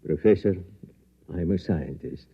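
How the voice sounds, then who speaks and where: An actor playing a detached, skeptical scientist with a measured, clinical delivery in a 1950s radio drama. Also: male voice, sits low, animated, slightly rough, full character voice. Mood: grave, doubting. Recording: dull, hissy vintage radio recording.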